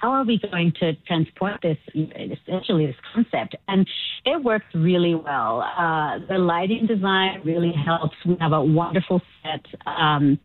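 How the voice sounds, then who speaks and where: A lively woman guest speaks in a slightly low voice, breaking into laughter and acted-out quotes. Her voice comes through a thin, phone-like line. She talks casually about her work. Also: a little slow, no echo. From Chile. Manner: animated, laughs often, acts out quotes. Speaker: stage actor, guest. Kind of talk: casual interview chat.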